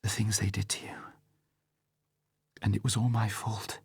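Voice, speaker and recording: Audiobook: a guilty, fragile young woman, hushed and breathy, read by a man. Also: mid-range voice, very animated, measured pace, no room sound, clean studio sound, pitched above the performer's own voice. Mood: tearful. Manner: soft, breathy, often near a whisper.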